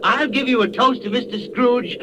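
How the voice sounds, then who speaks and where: A man's voice: extremely high pitch, normal speed. An actor playing a meek, kindly poor clerk and father in a 1930s radio play. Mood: gently placating. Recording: very noisy 1930s radio broadcast.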